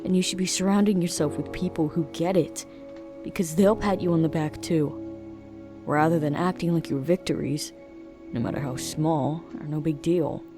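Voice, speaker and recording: A man's voice: a little high, steady tone, moderate pace, music in the background, close to an everyday voice. A man playing an earnest, supportive young friend in an audio drama, speaking with emphatic, reassuring phrasing. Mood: encouraging.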